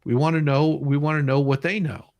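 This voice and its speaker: A man's voice: fairly high pitch, fairly even intonation, brisk, a little mellow, no echo. Emphatic man commentating live, dry close sound. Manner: emphatic, repeats and explains points. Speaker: livestream host. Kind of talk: casual live commentary while reading legal documents aloud.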